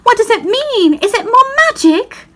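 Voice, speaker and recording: A woman acts out an anxious storybook schoolgirl, pitched high. She is timid and hesitant, forever questioning in a worried way. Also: big pitch swings, a little slow, sharp tone, exaggerated voice. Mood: distraught.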